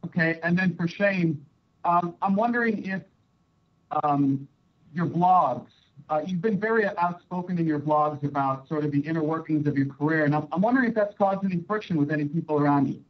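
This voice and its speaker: A man's voice: fairly high pitch, brisk. A man working as a sports reporter, asking casual, hesitant and rambling questions over a conference call. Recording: dull lo-fi conference call line.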